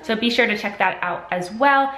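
A woman's voice: fairly high pitch, moderate pace, pushed and bright. A woman in her twenties, sniffly with a cold, chatting to the camera as a lifestyle vlogger from her home kitchen. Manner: chatty, gestures a lot with her hands. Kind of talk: casual talk to camera. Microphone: camera at desk distance. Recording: echoey kitchen.

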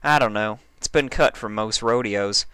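A man's voice: fairly low pitch, moderate pace, pushed and bright, smooth, dry recording. A man voicing an easygoing, modest female country pony from a cartoon, with folksy manners. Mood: bashful, modest.

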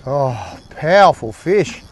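A middle-aged man hosting a fishing show, giving excited, exclaiming commentary from a small boat. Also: a little high, takes it slowly. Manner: enthusiastic, exclaiming, talks to the fish and his mate.

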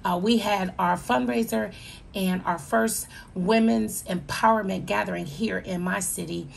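A hoarse woman minister preaching an earnest sermon, talking straight to the listener and repeating words for emphasis. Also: medium pitch, fairly even intonation, measured pace, slight background noise.

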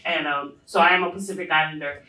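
A woman's voice: sits low, fairly even intonation, quick pace, sharp tone. A middle-aged woman from Hawaii talking informally on a panel, telling anecdotes and quoting people as she goes. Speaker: panelist, self-publishing publisher. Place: indoor panel event room. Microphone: handheld microphone. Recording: roomy echo, distant event sound.

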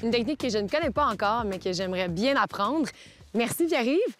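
A young woman with a Québécois accent hosts with a bubbly, eager lilt, her voice sitting high. She speaks outdoors in a snowy park over light background music. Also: lively intonation, fairly quick. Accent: Québécois French. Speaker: host. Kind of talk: upbeat outdoor interview.

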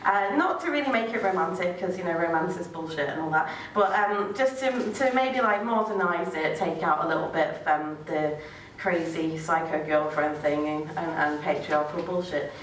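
A woman's voice: on the low side, moderate pace, distant mic. A young woman telling a comic piece live on stage in a wry, sarcastic manner, with sweary asides. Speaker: spoken-word performer. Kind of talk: comic live storytelling and dramatic reading. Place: on stage in a small dark venue. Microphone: microphone on a stand. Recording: echoey venue from afar, faint noise.

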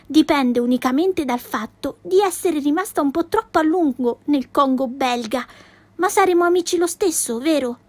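A woman voicing a cheerful, boastful little girl who tells wild tales, in a lively, exclaiming way. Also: high voice, normal speed, no echo, voice pushed up, natural voice.

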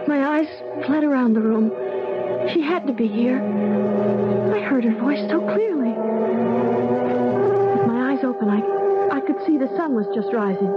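High young female voice, frightened bride, 1970s radio drama, muffled broadcast sound. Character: frightened young bride thrown back in time, earnest. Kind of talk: 1970s radio drama acting, first-person narration and dialogue. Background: dramatic music.

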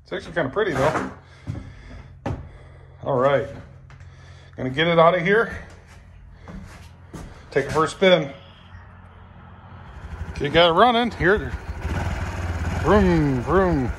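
A man's voice: on the high side, expressive, slow, pauses often, fairly bright tone. A middle-aged man chatting casually and plainly to camera, in an offhand way. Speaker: vlogger. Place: parked or moving pickup cab, later outdoors on gravel drive. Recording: clear background noise, vehicle and outdoors.

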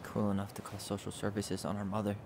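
A man's voice: on the low side, completely flat delivery, quick pace, background noise, natural voice. An actor playing an earnest young man, a wounded son, in a naturalistic video game drama. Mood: hurt, confronting. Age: young adult.

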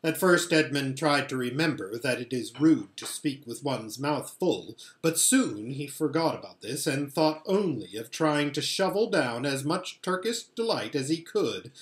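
A man's voice: fairly high pitch, roomy sound. A middle-aged man reading a children's novel aloud expressively, at home. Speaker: storybook reader. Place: living or dining room at home, indoors.

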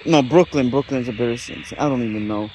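A man hosting a video with casual, off-the-cuff commentary, halting and often repeating himself. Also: mid-range voice, warm tone, slight background noise, rough recording. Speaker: vlog host.

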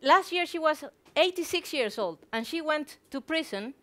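A woman's voice: sits high, animated, moderate pace, sharp tone. A woman in her thirties from Guatemala, a native Spanish speaker with a Guatemalan accent, giving a prepared talk on stage into a headset mic. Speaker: conference speaker. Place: stage in a theatre hall.

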